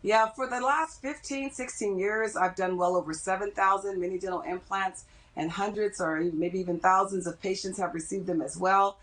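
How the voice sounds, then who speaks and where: A middle-aged woman speaking as a dentist in an interview, explaining things in a measured way. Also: mid-range voice, a bit bright, poor audio. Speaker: dentist interviewed as expert guest.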